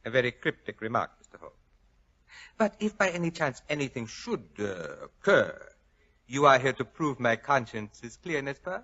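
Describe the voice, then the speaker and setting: Fussy theatrical French husband, swooping voice. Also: male voice, medium pitch, big pitch swings, moderate pace, fairly bright tone. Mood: smooth, guarded. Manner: flowery, pompous, overly polite. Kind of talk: 1940s radio acting. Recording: dull old-time radio recording.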